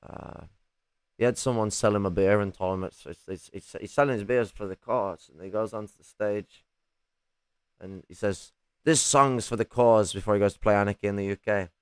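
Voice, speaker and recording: A raspy man, chatting casually on a livestream with lots of restarts and casual swearing. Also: fairly low pitch, fairly even intonation, fairly quick, dry recording. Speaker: streamer.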